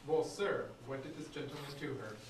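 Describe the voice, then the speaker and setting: A man's voice: mid-range voice, fairly quick. Man, student, reading Shakespearean dialogue, echoing classroom, faint noise. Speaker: student reading a part aloud. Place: classroom, away from the microphone. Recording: echoey room, distant mic, faint noise.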